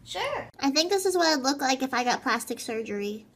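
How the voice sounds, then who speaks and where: A woman in her twenties with a very high, childlike voice, doing short comedy sketches in a playful, bubbly way, filmed on a phone held at arm's length. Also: very animated, a bit bright, breathy edge. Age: 20s. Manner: playful, bubbly, quick jokes, swings into squeals. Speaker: comedy video creator. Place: at home, in cars and outdoors. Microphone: phone camera at arm's length.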